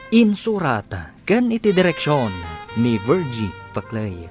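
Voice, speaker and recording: A man with a Filipino accent reading a scripted radio drama sign-off with emphatic, drawn-out phrasing. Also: mid-range voice. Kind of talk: scripted dramatic announcement and credits. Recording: music bed under the voice.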